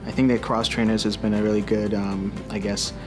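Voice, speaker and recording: Measured, flat-voiced man of twenty-nine. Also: fairly low pitch. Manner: measured, hesitant, with fillers. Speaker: church young adults group member. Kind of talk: testimonial interview. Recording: music bed underneath.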